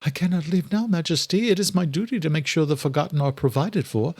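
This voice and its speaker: A devoted young vampire woman, acted out by a man in an audiobook, speaks in a raised, light pitch. She is formal and deferential, a dutiful caretaker in a close, dry recording. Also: fast, husky, no echo. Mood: dutiful, pleading. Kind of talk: dramatic audiobook character voice.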